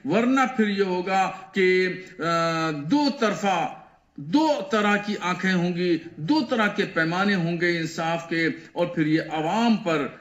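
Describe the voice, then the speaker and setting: A man's voice: sits high, slow, dull audio. A man, a cleric, giving an unscripted statement in an echoey room.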